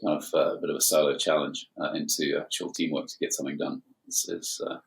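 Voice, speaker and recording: A man's voice: rumbling low, little pitch movement, fast talker, creaky. A man in his forties from Zimbabwe, an airline captain talking as a guest in a casual chat, with many hesitant fillers.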